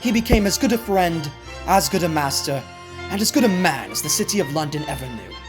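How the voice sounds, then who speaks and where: A teenage boy narrating the close of a school stage play in a warm, measured storytelling manner. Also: on the high side, sing-song, over music. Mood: reflective. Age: teens. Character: male storyteller closing the tale.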